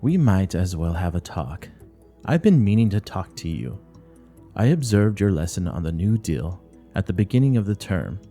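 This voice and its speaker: Guy playing a strict old nun principal, low dark voice, curt and preachy, faint music behind. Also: sing-song, normal speed, heavily acted. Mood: brisk, watchful. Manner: curt, severe, moralizing. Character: stern, strict older nun and school principal. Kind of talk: dramatic play reading.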